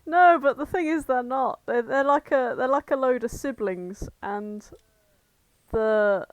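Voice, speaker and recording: Sweary woman, highish voice. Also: a little slow, no echo. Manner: sweary, giggly, blunt. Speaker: podcast co-host. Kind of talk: casual chat.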